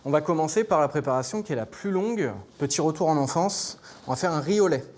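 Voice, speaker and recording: A man's voice: on the high side, expressive, fast, darkish tone. A man from Normandy working as a chef, explaining recipes step by step in casual, slightly hesitant chat. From Normandy, France. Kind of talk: cooking demonstration with casual chat. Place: kitchen.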